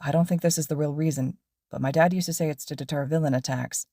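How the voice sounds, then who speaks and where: A quiet, serious teenage boy, as a woman reads him, low and soft with a reserved, muttering delivery. The recording is close and dry. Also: fairly even intonation, quick pace, dry recording.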